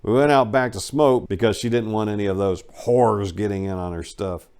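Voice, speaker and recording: A man giving a dramatic first-person reading of a memoir, telling it in a wry, animated storyteller's way. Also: on the low side, normal speed, smooth. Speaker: audiobook narrator.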